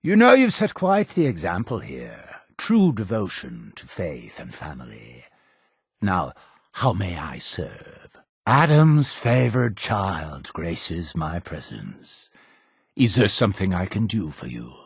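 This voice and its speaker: An actor playing a zealous, imperious cult leader in a video game, with a gravelly, husky voice and solemn, grandiose delivery. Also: male voice, mid-range voice, no echo, studio quality, exaggerated voice. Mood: measured, paternal. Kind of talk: theatrical video game dialogue.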